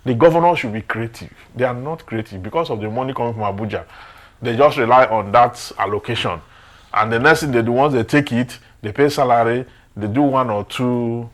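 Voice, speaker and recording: A man's voice: medium pitch, fairly quick, a bit bright. Nigerian man in emphatic discussion. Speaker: panel discussant on current affairs. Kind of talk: opinionated discussion.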